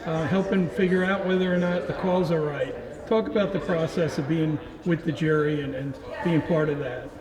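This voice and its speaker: A man's voice: a little high, normal speed, few pauses. Chatty man interviewing in an echoey arena. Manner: relaxed, chatty, with fillers. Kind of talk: casual on-site interview. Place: wrestling tournament arena. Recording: echoey arena with crowd noise.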